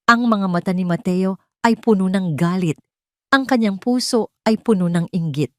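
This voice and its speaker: A woman's voice: mid-range voice, a little mellow, no echo, clean studio sound. A woman with a Filipino accent reading a scripted dramatic story as its narrator.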